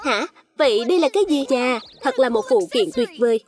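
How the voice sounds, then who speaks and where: A woman voicing excitable, childlike little fruit schoolchildren in a cartoon dub. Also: a little high, brisk, close to an everyday voice. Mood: amazed.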